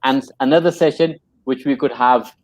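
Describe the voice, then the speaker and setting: A man's voice: medium pitch, brisk, no echo, poor audio. A man working as a trainer, telling a story in a casual conversation. He speaks earnestly and often repeats his phrases. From Pakistan. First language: English.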